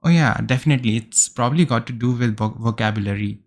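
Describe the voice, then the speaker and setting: A man casually commenting while streaming a game, chatting with viewers and thinking out loud. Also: mid-range voice, quick pace. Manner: chatty, answers chat, thinks aloud with fillers.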